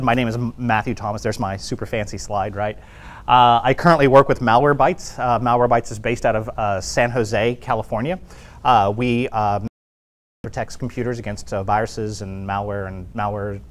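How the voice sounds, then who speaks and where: A man with a somewhat low voice presents at a tech meetup in a loose, rambling way. He fills gaps with "uh" and restarts his sentences. His voice comes through a clip-on mic in a meeting room. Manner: loose and chatty, many fillers and restarts. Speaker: guest speaker at a tech meetup. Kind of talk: casual presentation. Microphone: clip-on lapel mic.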